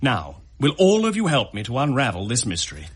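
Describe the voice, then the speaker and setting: An actor playing a confident, sceptical gentleman host in a period audio drama, speaking eloquently and formally with a hearty, husky voice. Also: medium pitch, wildly swooping pitch, fairly quick. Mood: sceptical, determined.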